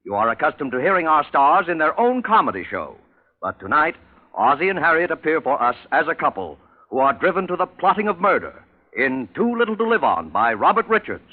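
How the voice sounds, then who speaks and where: Tinny old radio, male announcer, highish swooping voice, super dramatic and formal 40s delivery. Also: very animated, fairly quick. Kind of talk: 1940s radio announcing, scripted. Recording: old radio broadcast, phone-like band, music behind.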